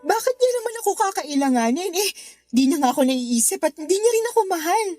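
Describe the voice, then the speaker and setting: A young granddaughter in a radio drama, devoted yet wounded. Her voice is high and earnest, over faint music. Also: big pitch swings, brisk, dark tone. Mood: hurt, bitter. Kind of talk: Tagalog radio drama acting.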